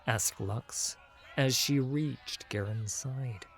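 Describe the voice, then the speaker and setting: A man narrating a fantasy story as a dramatic audiobook, in a measured, solemn manner. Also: medium pitch.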